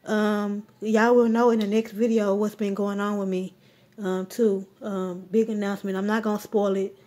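A woman's voice: mid-range voice, level tone, moderate pace. A woman chatting casually in a home grocery haul vlog, rambling with many ums and filming on a handheld phone. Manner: rambling, full of ums, warm shout-outs. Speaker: vlogger. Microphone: phone camera held while filming.

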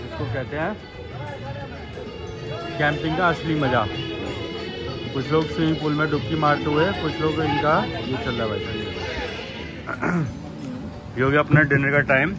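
A man's voice: fairly high pitch, steady tone, moderate pace, pauses often, loud music behind the voice. A man in his forties chats casually as a travel vlogger, filming himself on a phone outdoors at a hillside camp and pointing things out to his viewers. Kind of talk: casual vlog narration. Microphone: phone camera selfie.

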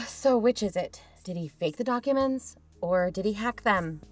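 A woman's voice: medium pitch, expressive, measured pace, dry recording, faint music behind. A woman plays a level-headed female investigator in a podcast drama. She sounds pragmatic and matter-of-fact, in a mid-range voice. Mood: weary, insistent. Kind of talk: audio fiction podcast acting.

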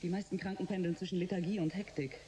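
An actress playing an earnest ship's medical officer in a German science-fiction radio drama, measured and matter-of-fact. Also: female voice, on the low side, steady tone, mellow, a little hiss or hum, close to an everyday voice. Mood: insistent. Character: earnest female ship's medical officer reporting to her captain.

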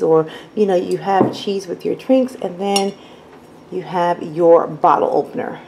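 A woman vlogger casually showing her home bar while filming it, chatty and relaxed, with plenty of um and you know. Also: mid-range voice. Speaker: lifestyle vlogger. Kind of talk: casual home tour talk to camera. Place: home basement bar, indoors.

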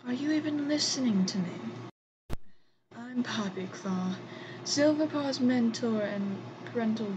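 A woman's voice: fairly high pitch, a little slow, lightly acted. A woman voicing an exasperated adult mentor cat in a fan comic dub. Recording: noisy dull home microphone.

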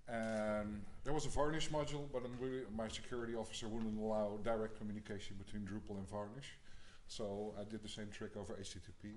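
A man's voice: on the low side, fairly even intonation, fast, few pauses, darkish tone, slight background noise. A man answering questions on stage after winning an award, explaining his work with some hesitation. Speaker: award winner, module developer. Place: large theatre stage.

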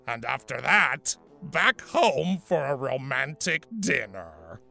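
Smitten monster villain voiced by a man, gravelly, swooping pitch, sappy, theatrical, audio drama, music behind. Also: big pitch swings, slow pace, pushed and bright, full character voice. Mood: lovestruck. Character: lovestruck, gushing male monster villain. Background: background music.

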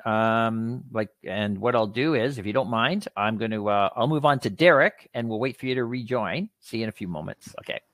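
A man's voice: fairly low pitch, moderate pace, few pauses, a bit bright, no echo. A man moderating a live webinar in a chatty, rambling way. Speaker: panel host. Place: indoor room, video call. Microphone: video call microphone.

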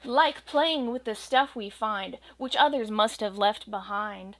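A young woman reading a rhyming children's picture book aloud at home, with a gentle, expressive storytelling cadence. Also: mid-range voice, measured pace. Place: small home room with bookshelves and green screen.

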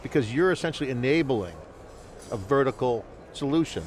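A man's voice: medium pitch, lively intonation, fairly quick, a little hiss or hum. Enthusiastic male host at a trade show. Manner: enthusiastic, run-on, wrap-up patter. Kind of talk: live event interview hosting. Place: convention hall floor. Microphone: headset mic.

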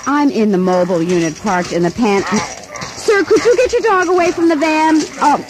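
A nervous female reporter in a radio drama. Her high voice sounds flustered as she reports from the field. There is clear noise behind her. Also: lively intonation, moderate pace. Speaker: mobile unit reporter.

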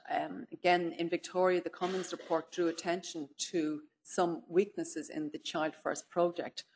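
Middle-aged woman, low voice, thoughtful keynote delivery at a lectern, slight background noise. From Ireland. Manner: measured, thoughtful, with frequent "if you like" and "um". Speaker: keynote speaker. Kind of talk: lecture to an audience. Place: conference room, indoors. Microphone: far camera, speaking at a lectern.

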